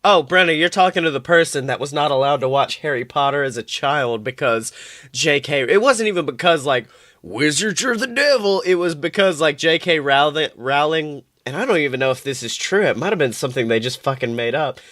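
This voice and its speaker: A woman's voice: low voice, fairly quick, no echo, studio quality. A young woman co-hosting a comedic podcast, chatting casually, acting out quotes and swearing freely as she tells stories. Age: young adult. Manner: animated storytelling, swears freely, acts out quotes. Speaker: podcast co-host.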